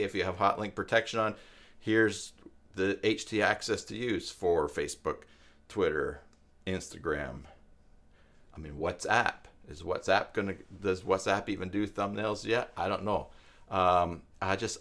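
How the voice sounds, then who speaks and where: Male live-stream tutorial host, lowish pitch, informal and rambling, works through answers out loud with halting restarts. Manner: rambling, thinking aloud, hesitant with restarts. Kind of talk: casual live tutorial chat, answering viewers' questions.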